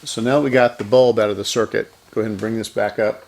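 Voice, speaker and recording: A man's voice: fairly low pitch, fairly even intonation, fast, darkish tone. A man giving unscripted hands-on repair commentary, thinking aloud methodically and correcting himself as he goes. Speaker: electronics repair host.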